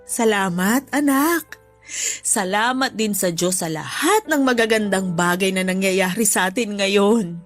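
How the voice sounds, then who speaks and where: A woman's voice: mid-range voice, a little slow, natural voice. A woman playing a grateful, selfless older mother in a radio drama, with a Filipino accent and emotional, tender delivery. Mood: happy. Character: grateful, contented, selfless older mother who once was poor. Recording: music bed behind the voice.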